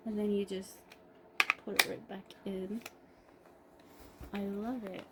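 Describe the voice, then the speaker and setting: A woman giving a casual, improvised unboxing review, pausing mid-sentence in an appreciative, unhurried way. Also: medium pitch, flat delivery. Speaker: product reviewer. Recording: clearly noisy background ambience.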